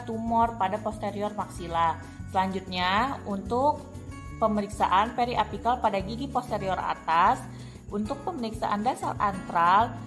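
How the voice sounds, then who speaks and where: A young adult woman, reading scripted instructional narration as a student presenter. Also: medium pitch.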